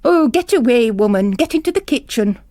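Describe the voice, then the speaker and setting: A woman's voice: a little high, animated, brisk, dark tone, no room sound, clean studio sound, lightly acted. A woman voicing a pompous, impatient male bishop with a blustering, emphatic manner, in an audiobook-style drama.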